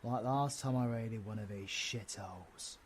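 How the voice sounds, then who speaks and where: A man's voice: lowish voice, a little slow, a little hiss or hum, lightly acted. A man with a British accent playing a cocky, crude, wisecracking supervillain in an audio roleplay, full of cheeky, sweary banter. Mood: scheming, confident.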